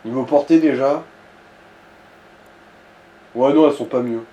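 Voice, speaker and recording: A man's voice: mid-range voice, a little slow, warm tone. A man giving casual, explanatory game commentary, guiding a player with coarse slang. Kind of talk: casual let's play commentary. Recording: roomy echo, background noise.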